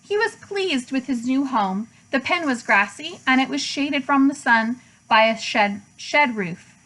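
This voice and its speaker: A woman's voice: high-pitched, lively intonation, measured pace, fairly bright tone, poor audio. A woman narrating a children's storybook in a read-aloud style, as a teacher would.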